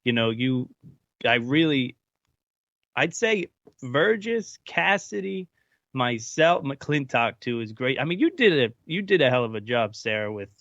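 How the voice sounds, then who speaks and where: A man's voice: mid-range voice, sing-song, a little slow, a bit bright, no echo. Casual man, dull lo-fi sound. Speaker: voice actor being interviewed. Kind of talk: casual interview chat.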